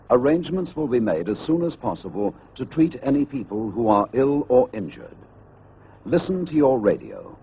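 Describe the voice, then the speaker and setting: British male narrator, husky voice, formal official instructions, measured, thin phone-like old soundtrack. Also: mid-range voice. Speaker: public information film narrator. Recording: muffled phone-like archive film soundtrack.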